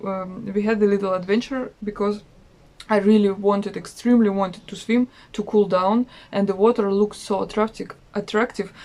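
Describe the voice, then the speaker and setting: A woman in her thirties with a Russian accent, chatting casually about a trip in a travel vlog with many pauses and fillers. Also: mid-range voice, a little mellow. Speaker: travel vlogger.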